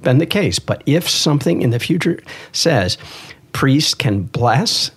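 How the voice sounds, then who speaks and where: Emphatic priest with a slightly husky voice. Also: male voice, mid-range voice, lively intonation, a little slow. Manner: emphatic, repeats key phrases. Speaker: priest and podcast host. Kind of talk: earnest religious discussion.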